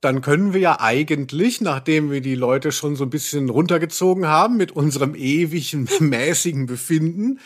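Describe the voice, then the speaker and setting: A man chats casually as a podcast co-host. His voice sits a bit high for a man and has a distinctly husky edge. He talks with dry irony, often at his own expense. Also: moderate pace, few pauses. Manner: wry, self-deprecating, ironic.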